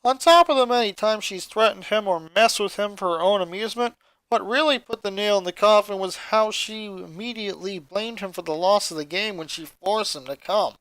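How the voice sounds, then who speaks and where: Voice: medium pitch, brisk, a bit bright, dry recording, low-quality recording. A reader giving a scripted narration of a story, putting on voices for the characters. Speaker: fan fiction reader.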